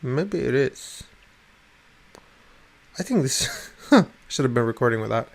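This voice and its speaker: A man talks to his webcam from his desk in a loose, rambling rant. English is not his first language, and he swears freely, stumbles and restarts his phrases again and again. His pitch sits in the middle for a man. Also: expressive, takes it slowly, creaky. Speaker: vlogger.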